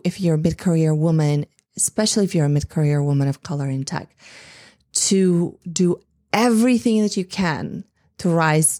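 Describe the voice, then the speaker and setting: Woman, 40s, earnest speaker to women in tech, low warm voice, dry sound. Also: dry recording. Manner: impassioned, many "like"s and restarts. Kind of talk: earnest speech to an audience.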